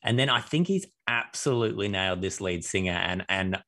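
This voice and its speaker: A man's voice: sits low, expressive, brisk, sharp tone. A man co-hosting a casual podcast chat, often hesitating and repeating words. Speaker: podcast co-host.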